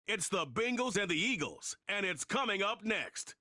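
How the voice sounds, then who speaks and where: A man with a bright, high voice delivers a punchy scripted promo, his pitch swinging lively up and down. The studio sound is dry and close. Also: normal speed, dry recording. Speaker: promo announcer.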